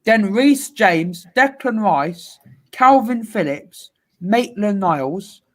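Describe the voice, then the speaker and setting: A man's voice: high-pitched, lively intonation, takes it slowly. A young man co-hosts a casual football talk. He lists players and lineups from memory, sure of his picks. His voice is on the light side for a man. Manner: rattles off names and lineups. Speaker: co-host.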